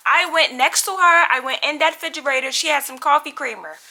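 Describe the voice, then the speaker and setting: A woman's voice: high-pitched, fast talker, bright, forceful tone. A young American woman telling a casual storytime in a dramatic, slangy way, acting out the dialogue as she goes. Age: young adult. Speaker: storytime vlogger.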